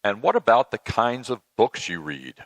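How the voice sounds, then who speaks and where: Man as a patient, teasing deity: conversational, admonishing, lively intonation, dry close sound, church sketch. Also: medium pitch, normal speed, dry recording. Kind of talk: dramatic reading of a comic church sketch.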